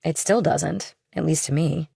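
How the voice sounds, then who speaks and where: A woman's voice: sits low, a little slow, creaky, mellow, husky, no room sound, clean studio sound, heavily acted. A woman voicing an evasive, nonchalant young woman in an audiobook, casual and a little defensive. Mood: evasive, offhand. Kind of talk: audiobook character dialogue.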